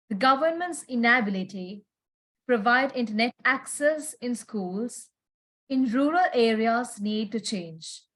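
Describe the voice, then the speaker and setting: A woman moderating a panel, reading scripted introductions in a formal, measured way. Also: fairly high pitch, a bit bright.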